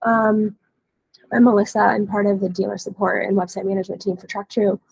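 Female webinar trainer, thirties. Scratchy, heavily creaky voice with a cold, even pitch, informal and explanatory, close headset sound. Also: a little fast, no echo. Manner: casual, explanatory. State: hoarse from a cold. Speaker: webinar trainer, dealer support staff. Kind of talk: informal software training walkthrough. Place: indoor room, on a video call.

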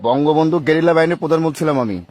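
A man's voice: a little high, fast talker, a little mellow, no room sound. Stern Bangladeshi man with a raised voice. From Gopalganj region, Bangladesh. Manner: stern, accusatory, declamatory. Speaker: militant group leader making a phone call. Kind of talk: confrontational phone call, speech-like accusations. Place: phone call recording. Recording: recorded phone call.

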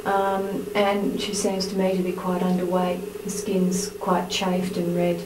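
An Australian woman activist gives a formal, measured statement for a camcorder, hesitating with fillers as she describes things. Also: lowish voice, monotone, heavy background noise. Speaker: animal rights activist. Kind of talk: spoken statement for camera. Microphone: camcorder mic. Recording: echoey 1990s camcorder audio.

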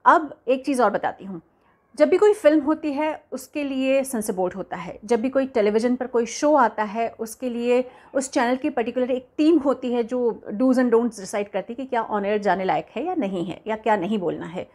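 Woman host, forties, slightly high voice, earnest commentary, pauses and fillers, home camera. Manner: earnest, measured, with frequent hesitations. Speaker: entertainment news host. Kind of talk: scripted news commentary to camera. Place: home room, indoors.

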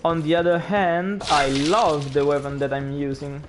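A man's voice: on the high side, steady tone, moderate pace, noisy background. A young man with an Italian accent, a non-native English speaker, chatting as he streams a game and reacting aloud to it. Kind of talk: gameplay commentary.

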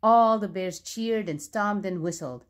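A woman narrating a children's storybook aloud, expressively and with clear phrasing for young listeners. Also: medium pitch, measured pace.

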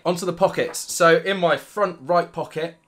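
A British man in his thirties with a croaky voice from illness, chatting enthusiastically about his gear to camera in a home studio. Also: fairly high pitch, normal speed, fairly bright tone. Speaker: vlogger. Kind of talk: casual gear review to camera. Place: dim home studio room, indoors.

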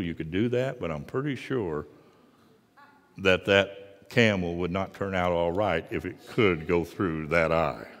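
A middle-aged man preaching a sermon in a large church sanctuary, moving from quiet asides to loud, fervent passages. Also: sits low, in a large hall. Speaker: pastor.

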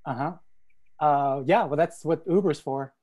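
A young actor playing a polite, awkward driver in his late twenties, stammering gently in a table read. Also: fairly high pitch, measured pace. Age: young adult. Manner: hesitant, stammering. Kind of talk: screenplay table read over video call. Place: living room at home, indoors. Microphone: earphone headset on video call.

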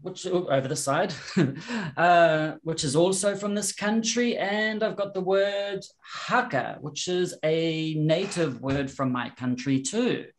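A man in his forties with a softened New Zealand accent, a guest chatting casually on a video call through a headset, explaining in an animated way. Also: on the high side, slow.

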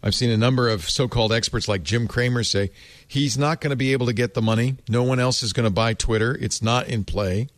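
A man hosting a casual panel podcast, thinking out loud in a playful, expressive way. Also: medium pitch, fairly quick, dry recording. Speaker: podcast host.

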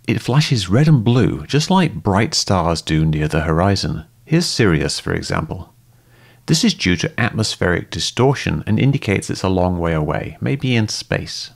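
Brief: low-voiced male narrator, methodical and calm, lively intonation despite the deep pitch. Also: vocal fry. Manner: calm, methodical, step-by-step explaining. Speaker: host and debunker. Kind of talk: scripted explanatory narration.

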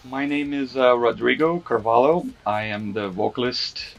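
Gravelly-voiced Brazilian-born man, seated. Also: medium pitch, normal speed, dull audio, over loud music. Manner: relaxed, hesitant with fillers. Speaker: band vocalist. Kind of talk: casual interview talk. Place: music studio, indoors.

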